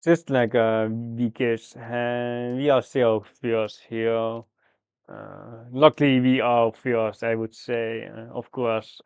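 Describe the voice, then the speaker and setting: A man live-streaming a game, thinking aloud through his moves in a halting, fragmented way. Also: mid-range voice, flat delivery, gravelly, a little mellow, smooth, no echo, muffled recording. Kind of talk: live mahjong gameplay commentary, thinking aloud.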